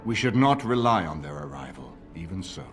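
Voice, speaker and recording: Low-voiced, expressive older nobleman. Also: soft background music. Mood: uneasy, measured. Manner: formal, measured, authoritative. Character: dignified older nobleman, commanding and thoughtful.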